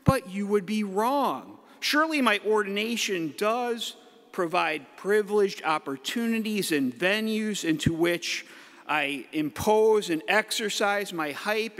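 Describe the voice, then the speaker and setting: A middle-aged man, a priest, giving a playful homily from the pulpit with the odd hype-man shout. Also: sits high, lively intonation, a little slow. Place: large church. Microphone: pulpit mic. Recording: echoey church hall.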